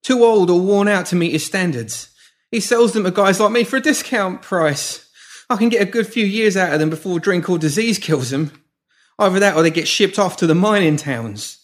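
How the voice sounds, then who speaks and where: A man performs a coarse street thug's voice pushed high and loud, babbling in panic. The pitch leaps around wildly as he pleads and gabbles. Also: sing-song, brisk. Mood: desperate babbling. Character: male pimp Pinky, coarse thug turned panicked.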